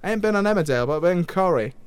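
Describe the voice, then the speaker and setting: A man plays a blunt, cheeky guest with a broad Northern English accent who calls everyone mate. The voice swings lively in pitch and sounds smooth and clear. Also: racing.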